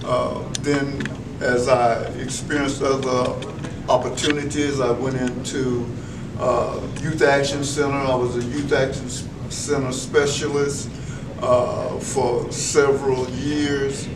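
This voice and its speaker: An older man speaks slowly in a level, even tone, pausing often with fillers as he gives formal, measured answers. He sits some distance from the mic in an echoey school room. Clear background noise hums under his voice. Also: mid-range voice. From Texas. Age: 70s. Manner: measured, many filler pauses, formal phrasing. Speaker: veteran school educator, interviewee. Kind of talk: reflective interview answers.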